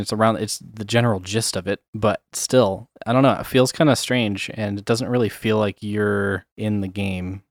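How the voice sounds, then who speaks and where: Low-voiced rambling man chatting. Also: no echo, clean studio sound. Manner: rambling, many fillers, chuckles often. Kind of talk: casual chat.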